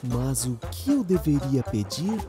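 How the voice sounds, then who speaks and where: A woman's voice: rumbling low, big pitch swings, a little slow, music in the background, heavily acted. A woman voicing a cheerful, helpful young male tank engine in a Brazilian Portuguese children's cartoon dub, exclamatory and enthusiastic. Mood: friendly, confident. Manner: bright-eyed, enthusiastic, exclamatory.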